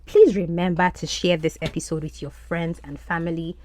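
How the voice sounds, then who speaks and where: A woman whose first language is Yoruba, hosting a podcast as an English language coach and speaking in an animated, encouraging way. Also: on the low side, normal speed. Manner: animated, encouraging teacher's delivery.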